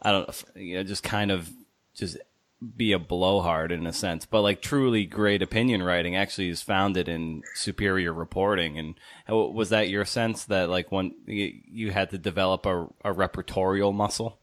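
Male podcast host, low voice, dry close sound, loose and searching interview questions. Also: fairly even intonation, no echo. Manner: halting, meandering questions. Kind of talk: casual conversational interview. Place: small untreated home office.

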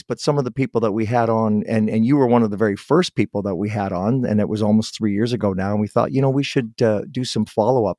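A man's voice: on the low side, a little mellow, dry recording, studio quality. A man hosting an interview podcast in a friendly, chatty way. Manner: friendly, chatty, many restarts and fillers. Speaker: podcast host. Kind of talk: casual interview chat.

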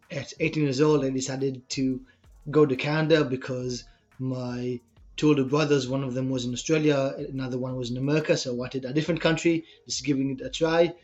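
A man's voice: mid-range voice, fairly even intonation, fairly quick, a little mellow, muffled recording, soft background music. A young man with an Israeli accent, a personal trainer answering podcast interview questions in an earnest, explanatory way.

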